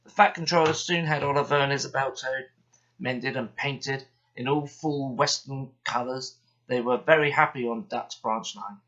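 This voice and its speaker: A man reading a children's bedtime story aloud in an expressive, steady manner. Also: medium pitch, normal speed, highs cut off.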